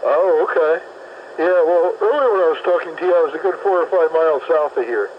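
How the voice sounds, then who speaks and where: A man's voice: on the high side, fairly quick. A man talking on a CB radio while driving his car, upbeat and chatty, describing his route. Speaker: mobile CB radio operator. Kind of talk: casual CB radio chat. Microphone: mobile CB radio handset. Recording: muffled CB radio with static.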